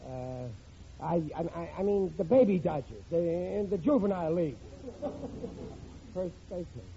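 A man's voice: sits high, moderate pace, creaky, dark tone. An actor playing a boastful, bumbling middle-aged father in an old radio sitcom, blustering and stammering when cornered. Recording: muffled, hissy vintage radio recording.

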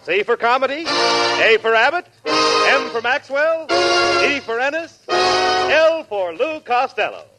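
A man's voice: very high-pitched, poor audio. A man announcing a 1940s radio show and its sponsor with emphatic, showy projection, in a vintage broadcast recording. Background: loud music.

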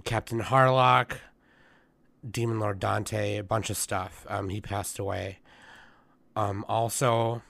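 A man with a low, gravelly voice chats casually as host of his own anime news show. He rambles, restarting sentences and leaning on fillers as he goes. The sound is close and dry, with no room around it. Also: fairly bright tone, dry recording. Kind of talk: casual chat.

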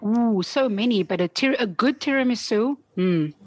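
A woman's voice: a little high, normal speed, dry recording, clean studio sound. A South African woman whose first language is Afrikaans, chatting as an interview guest in a playful, laughing way. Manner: lively and playful, laughs often. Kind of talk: casual interview chat.

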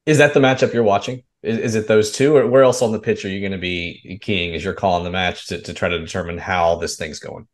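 A man's voice: sits low, steady tone, fairly quick, hardly any pauses. A man hosting a sports show, putting conversational questions to a guest. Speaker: sports show host, interviewer.

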